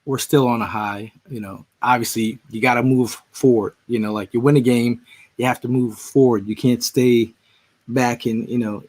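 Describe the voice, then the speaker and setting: A man's voice: mid-range voice, a little mellow. A man hosting a casual sports podcast, rambling with restarts, his voice just recovering after he lost it. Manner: rambling, frequent restarts and fillers. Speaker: podcast host.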